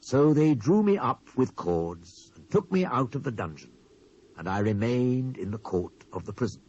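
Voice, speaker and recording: A British man reading scripture as a dramatised narration, with a solemn, theatrical and deliberate delivery. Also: medium pitch, big pitch swings, darkish tone, slightly rough. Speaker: Bible narrator. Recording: dull lo-fi old cassette transfer.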